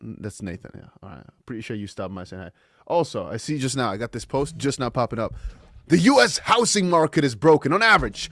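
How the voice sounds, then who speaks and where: A man's voice: medium pitch, no echo, clean studio sound. A man co-hosting a live stream, chatting casually and reading out viewer messages and news posts. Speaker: stream co-host.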